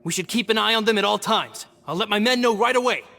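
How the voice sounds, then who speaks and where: A man playing a dutiful guild member in a game, measured and formal. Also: high voice, creaky, pushed and bright, full character voice. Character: guild man leading his own men, dutiful.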